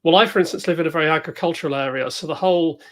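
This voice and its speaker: A woman's voice: sits low, very fast. A woman with an English accent chatting casually as co-host of a technical workshop, tentative and thoughtful, often rephrasing as she goes. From England. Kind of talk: casual chat during a live technical workshop.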